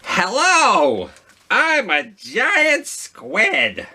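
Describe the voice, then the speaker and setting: A man voicing a boastful, excited giant squid from a picture book, in loud, drawn-out exclamations. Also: high-pitched, slight background noise, voice pushed up, exaggerated voice. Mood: proud, excited.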